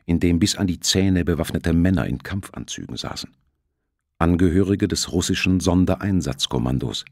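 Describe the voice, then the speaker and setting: Very low-voiced male audiobook narrator. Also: darkish tone, no echo, studio quality. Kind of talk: scripted narration of a thriller novel.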